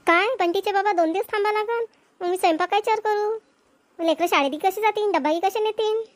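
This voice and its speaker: A woman's voice: very high-pitched, fairly quick, dry recording. A woman playing a practical, nagging village wife and mother in a cartoon comedy, with a Vidarbha Marathi accent. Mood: indignant.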